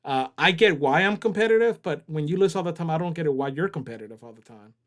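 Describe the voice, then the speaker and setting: A man's voice: fairly high pitch, lively intonation, fast talker. A man hosting a casual livestream, joking around and reading viewer chat aloud. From Southern US. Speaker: livestream host.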